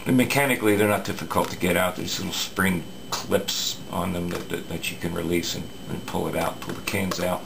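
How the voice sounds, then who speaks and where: A man's voice: deep voice, steady tone, brisk, gravelly, hardly any pauses, noisy background. A man talking casually at his workbench, explaining a radio repair with many fillers and restarts.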